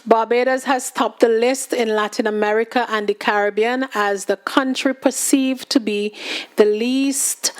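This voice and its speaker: A woman hosting a show, reading news items in an unhurried way and adding casual asides and fillers. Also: on the high side, steady tone. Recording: music playing loudly behind.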